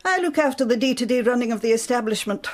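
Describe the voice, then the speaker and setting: Actress as stern female manager, British accent, formal, guarded, firm, lively pitch movement, period radio play. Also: fast talker, natural voice. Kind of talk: British radio drama acting.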